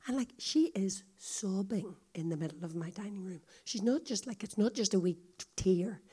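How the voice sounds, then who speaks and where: Woman guest speaker, croaky hoarse voice, confiding storyteller vibe. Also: mid-range voice, moderate pace, dark tone. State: hoarse, coughing. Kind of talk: storytelling talk to a congregation.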